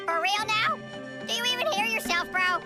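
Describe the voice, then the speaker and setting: A woman's voice: very high voice, normal speed, over music. A woman voicing a small, cheeky young dinosaur in a video game, squawky and parroting slangy retorts. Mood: sassy, dismissive. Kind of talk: video game creature acting.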